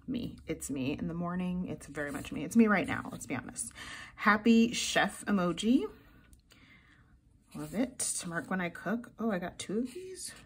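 A woman's voice: on the low side, sing-song, moderate pace, slight background noise. A young woman chatting casually through a sticker haul at her desk, gushing and giggly, her voice a little froggy from the early morning. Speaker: planner vlogger.

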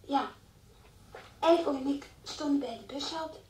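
A woman's voice: high-pitched, measured pace, darkish tone, far from the mic, slight background noise. An actress playing a naive, chatty young schoolgirl answering questions in a radio drama. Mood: earnest, innocent.

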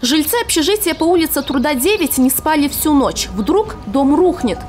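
High-pitched woman news correspondent. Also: lively intonation, moderate pace, a little mellow, soft background music. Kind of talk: scripted news report voice-over.

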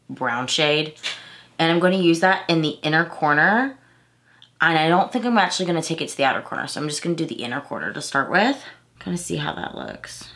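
A woman's voice: low voice, fairly bright tone. A woman in her thirties from Texas with a slightly nasal, stuffy voice, chatting casually through a makeup tutorial and correcting herself as she goes. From Houston, Texas. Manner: chatty, rambling, self-correcting. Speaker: beauty vlogger. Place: small room at home, indoors.

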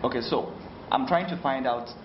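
A man's voice: medium pitch, moderate pace. A young Nigerian man hosting a casual interview, putting questions to his guest. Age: young adult. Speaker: radio show host, interviewer. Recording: echoey, dull, clearly noisy interview audio.

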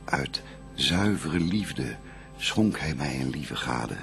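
A man's voice: a bass voice, lively intonation, slow pace, creaky, a bit bright, soft background music, heavily acted. An actor playing the first man, a reverent and grateful bridegroom, declaiming formal verse in a radio play. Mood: grateful, devout.

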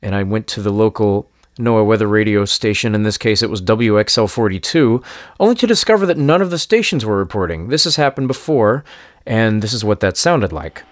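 A man's voice: on the low side, animated, fairly quick, no room sound, clean studio sound. A man telling a story in a casual, chatty first-person style as host. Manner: chatty, conversational. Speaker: narrator and host.

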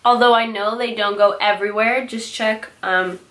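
A woman's voice: fairly high pitch, fairly even intonation, normal speed, fairly bright tone, a little hiss or hum. Chatty young woman, slightly nasal from a cold. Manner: chatty, rambling, self-correcting. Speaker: beauty vlogger. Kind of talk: casual haul video chat. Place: small bright bedroom with louvered closet doors.

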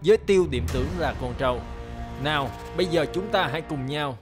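Guy doing a rowdy cartoon festival mc, high pitched, brash, loud music behind. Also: brisk, lightly acted. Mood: rousing. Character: brash, rowdy buffalo-fight festival announcer. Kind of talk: festival announcing, cartoon comedy.

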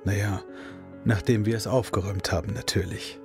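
Man as a low-voiced, hesitant father with music underneath, audio drama. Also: steady tone, moderate pace, lightly acted. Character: grieving middle-aged father of a missing girl.

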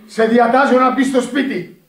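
A scolding parish priest in a radio play. His voice is high for a man, formal and emphatic as he admonishes. It sounds echoey and set well back from the microphone. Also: fairly even intonation, distant mic. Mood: stern. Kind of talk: radio drama acting.

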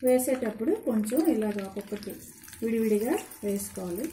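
An older woman giving homely, unhurried step-by-step instructions at her kitchen stove. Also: on the high side, dark tone, a little hiss or hum. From India. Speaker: home cook. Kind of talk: cooking demonstration voice-over. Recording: echoey kitchen with sizzling pan. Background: frying and sizzling.

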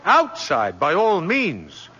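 A man's voice: high voice, wildly swooping pitch, takes it slowly, sharp tone, breathy edge, heavily acted. An older man playing a kindly, spirited old Santa Claus in 1940s radio drama, avuncular, with little hums and questioning tags. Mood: genial, curious. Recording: muffled 1940s radio broadcast recording.

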